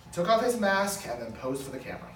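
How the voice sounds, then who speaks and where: A man's voice: high-pitched, big pitch swings, fast talker, soft background music. A man playing a defensive, spiteful lawyer with a sarcastic, profane manner in a comedic script read. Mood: exasperated. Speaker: actor at a script table read. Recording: roomy, distant mic, faint noise.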